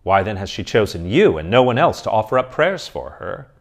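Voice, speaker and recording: Old colonel, stern and commanding, loud emphatic lines, as a man puts him on. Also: fairly low pitch, big pitch swings, brisk, gravelly, exaggerated voice. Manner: forceful, emphatic declarations.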